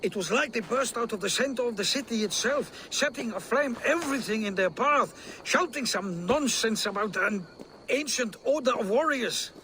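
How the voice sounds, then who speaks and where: Proud, beaten male Viking soldier for a game: high-pitched, emphatic, embittered; light ambient noise. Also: lively intonation, moderate pace, bright, forceful tone, heavily acted, poor audio. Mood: bitter, agitated. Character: beaten but loyal Danish Viking warrior man, proud. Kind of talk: dramatic video game cutscene acting.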